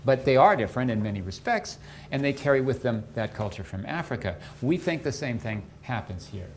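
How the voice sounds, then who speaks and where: A man's voice: medium pitch, sing-song, fairly quick. Man lecturing, faint background noise. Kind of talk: spontaneous academic lecture.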